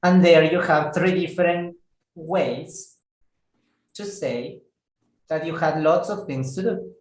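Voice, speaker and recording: A patient male English teacher who talks slowly and deliberately, repeating each phrase for his learners. His voice has a roomy echo around it, and the pace stays unhurried. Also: fairly high pitch. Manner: slow, careful, repeats words for students. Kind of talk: online English lesson. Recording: echoey room.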